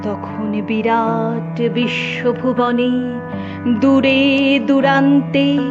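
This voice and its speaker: A woman reciting a poem in a dramatic, expressive style, with measured, deliberate phrasing and drawn-out pauses. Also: sits high. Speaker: poetry reciter. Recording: dull, band-limited sound under music. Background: instrumental music.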